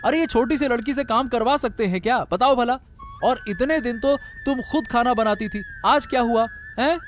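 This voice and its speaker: A man's voice: very high voice, moderate pace, faint music behind. A man voicing an indignant, reproachful, protective husband in a Hindi cartoon story, full of exclamations.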